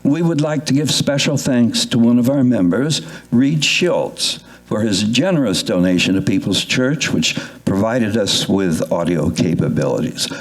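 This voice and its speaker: An older man presenting at a live readers theatre event, giving thanks and announcements in a measured, formal way. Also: on the low side, animated, low-quality recording. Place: indoor live theatre event, speaking to an audience.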